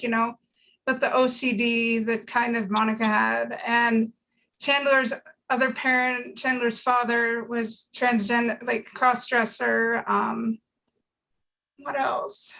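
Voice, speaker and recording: A woman's voice: fairly high pitch, sharp tone. A woman talking earnestly in a casual discussion, explaining her thoughts with frequent filler words like "you know". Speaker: panel participant. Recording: dull lo-fi audio.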